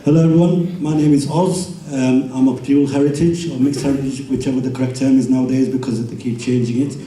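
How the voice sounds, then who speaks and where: A middle-aged man from Kenya giving a relaxed, informal talk at a community event, with frequent ums and uhs. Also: mid-range voice, a little fast, roomy sound, far from the mic, slight background noise, low-quality recording. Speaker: community speaker.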